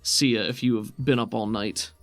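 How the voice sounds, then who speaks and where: A man's voice: on the low side, steady tone, moderate pace, no echo, soft background music. A man speaking as game master, explaining rules and narrating in an easygoing, conversational way. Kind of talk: running a tabletop roleplaying game, explaining rules.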